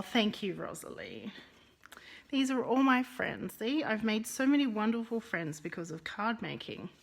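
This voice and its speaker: A woman's voice: mid-range voice, creaky, a bit bright, a little hiss or hum. A woman with a Russian accent teaching a live card-making lesson, chatting with viewers by name and rolling her R's. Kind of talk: live-streamed craft lesson.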